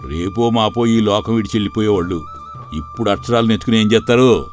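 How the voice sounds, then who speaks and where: A man's voice: fairly low pitch, fast, natural voice. A man voicing a skeptical, resigned old village elder in a moral story. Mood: doubtful resigned. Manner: rustic colloquial speech, resigned. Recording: music bed under the voice.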